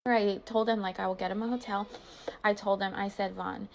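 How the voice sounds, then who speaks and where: A woman's voice: mid-range voice, little pitch movement, a little fast, creaky, a little hiss or hum, rough recording. Woman telling a casual, dramatic personal story. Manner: colloquial and dramatic, acting out reported dialogue.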